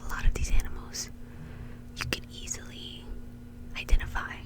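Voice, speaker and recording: A woman telling a story in a soft, breathy ASMR whisper, in a casual, chatty way. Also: normal speed. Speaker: ASMR video host.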